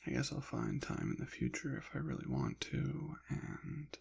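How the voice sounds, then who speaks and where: A man rambling softly in an ASMR style, slipping in and out of a whisper, with a hesitant, halting, apologetic manner. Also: mid-range voice, huge pitch swings, creaky, breathy edge, highs cut off. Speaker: ASMR channel creator. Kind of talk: soft-spoken ASMR ramble, partly whispered.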